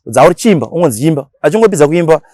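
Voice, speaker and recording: A man's voice: a little high, very animated, rapid-fire, mellow, dry recording. A man with a Zimbabwean accent, talking casually as a radio DJ being interviewed, mixing languages and repeating words for emphasis.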